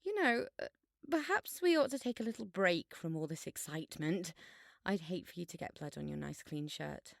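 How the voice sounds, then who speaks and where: A sarcastic schoolgirl, very lively pitch. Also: normal speed, creaky, no echo, studio quality. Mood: placating. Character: sarcastic, bossy, foul-mouthed schoolgirl. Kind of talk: scripted audio drama dialogue.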